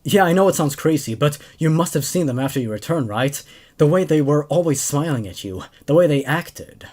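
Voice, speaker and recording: Guy reading as a frantic man warning someone in a creepy story, hurried and pleading, voice swinging around. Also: on the high side, expressive, darkish tone. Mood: urgent, alarmed. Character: frantic male acquaintance phoning a warning at night.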